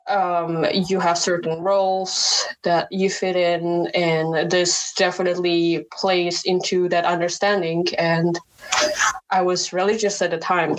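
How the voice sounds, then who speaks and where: Slow, hesitant woman. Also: lowish voice, poor audio. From Indonesia. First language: Indonesian. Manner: hesitant, many fillers and restarts, laughs often. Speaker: podcast guest. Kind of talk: personal interview talk.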